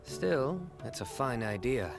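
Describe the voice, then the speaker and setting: A man voicing a stern young investigator in an anime video game, speaking in a measured, formal, deadpan way. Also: medium pitch, vocal fry, full character voice. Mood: composed, serious. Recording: music under the voice.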